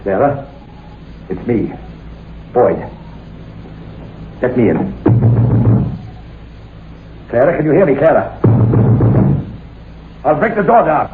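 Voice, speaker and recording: A man playing a distraught, fearful husband in a radio horror drama, pleading haltingly and repeating himself as if losing his mind. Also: medium pitch, sing-song, phone-quality sound, music in the background. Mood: anxious, coaxing. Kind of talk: old-time radio horror drama acting. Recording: very noisy, echoey, thin old radio recording.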